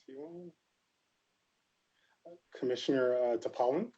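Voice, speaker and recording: A man chairing a formal commission meeting over a video call, speaking in a measured way with frequent fillers. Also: medium pitch, mellow, slightly rough. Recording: dull lo-fi video call audio.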